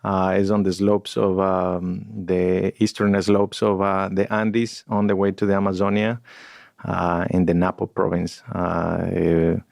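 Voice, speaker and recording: A man's voice: low voice, level tone, unhurried, gravelly, a little mellow, no echo, clean studio sound. A man, a guest in a podcast interview, talking in a reflective way and searching for words. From Ecuador. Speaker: guest scientist.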